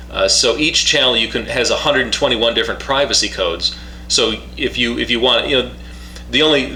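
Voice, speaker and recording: A man giving a casual, unscripted product demonstration in a halting way, repeating and restarting his phrases. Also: mid-range voice, sharp tone. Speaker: product reviewer demonstrating a two-way radio. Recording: roomy echo, faint background hum.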